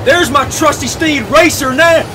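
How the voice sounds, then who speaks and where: A man playing a crazed, boastful cowboy film star in old-time radio drama, hollering exuberantly in folksy cowboy talk. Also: measured pace. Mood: manic, exultant. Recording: noisy, with vehicle rumble behind.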